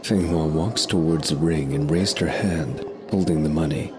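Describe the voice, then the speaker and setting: A man's voice: very deep voice, normal speed, over music. A man narrating a scripted story in the present and past tense, as a narrator.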